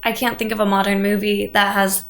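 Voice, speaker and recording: A woman's voice of medium pitch, with noticeable room echo. She chats casually about movies as a podcast co-host. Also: little pitch movement, brisk. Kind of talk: casual film discussion. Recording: roomy, echoing indoor sound.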